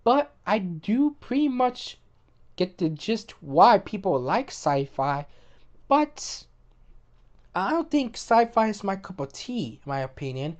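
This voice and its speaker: A woman hosting casual gaming commentary, opinionated, often repeating and restarting words, her voice getting hoarse. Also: mid-range voice, darkish tone. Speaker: gaming video host.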